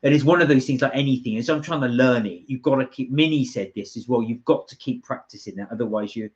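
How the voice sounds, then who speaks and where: A man's voice: mid-range voice, quick pace. British man chatting on a lo-fi livestream. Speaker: live stream co-host. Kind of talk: casual live chat, reading viewer comments. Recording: dull, lo-fi livestream audio.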